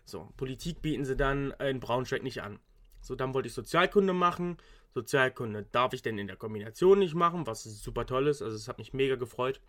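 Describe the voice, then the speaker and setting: A man's voice: medium pitch, fairly quick, a bit bright. A young man, a university student, chatting casually, rambling with frequent restarts and English slang. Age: young adult. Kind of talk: casual chat.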